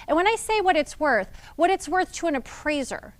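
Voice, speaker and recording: A woman with a high voice leads a meeting of real estate agents. She explains terms plainly and speaks directly to the group, checking that everyone follows. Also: sing-song, fairly quick. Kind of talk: training talk to agents.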